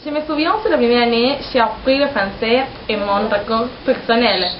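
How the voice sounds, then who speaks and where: A woman's voice: a little high, steady tone, moderate pace. An eighteen-year-old woman from Argentina, a Spanish speaker, giving a prepared account as a student of French. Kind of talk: prepared personal testimony in French as a learner. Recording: muffled echoey recording, faint noise.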